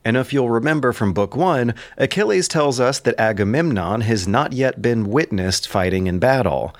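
A man's voice: medium pitch, animated, moderate pace, no echo. A man delivering scripted literary commentary as a host, in an erudite, emphatic and enthusiastic manner.

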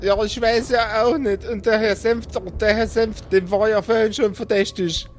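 A man's voice: high-pitched, fairly even intonation, normal speed, a little hiss or hum, natural voice. A man voicing a timid, flustered mother with a hesitant, plaintive, homely manner in an improvised tabletop audio play.

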